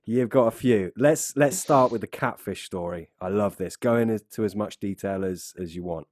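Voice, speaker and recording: British bloke hosting a casual podcast chat, lowish voice, dry close sound, matey. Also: male voice, normal speed, darkish tone, dry recording. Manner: matey, informal. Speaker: podcast host.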